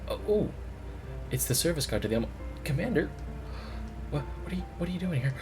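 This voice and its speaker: Man doing a flustered female technician, pitched up. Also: fairly quick, over music. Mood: startled, flustered. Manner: stammering, excitable.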